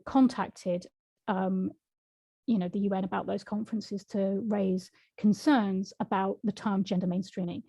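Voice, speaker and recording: Brief: frank woman author in interview, mellow tone, dry close sound. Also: mid-range voice, no room sound. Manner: reflective, frank, laughs often. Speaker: author and guest. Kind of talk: conversational interview answers.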